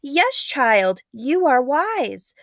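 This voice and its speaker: A woman's voice: high voice, sing-song, drawn-out words, a bit bright, no echo, clean studio sound, pitched above the performer's own voice, exaggerated voice. A woman voicing a wise old village woman in a storybook, urgent and commanding. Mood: approving. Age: older. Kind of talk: storybook read-aloud character.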